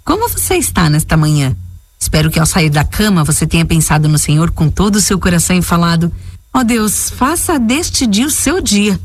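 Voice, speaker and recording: A woman's voice: lowish voice, very animated, a little fast, dry recording. A woman missionary giving a recorded devotional message in an earnest, exhorting way.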